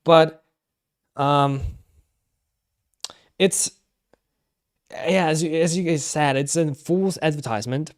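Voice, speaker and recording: A man giving casual live stream commentary, thinking aloud haltingly and repeating himself. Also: a little high.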